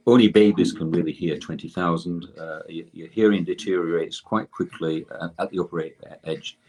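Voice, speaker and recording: Older guy, deep husky voice, explaining technical stuff, stops and restarts a lot. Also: steady tone, darkish tone, low-quality recording. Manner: halting, self-correcting explanation. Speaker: guest expert in electrotherapy.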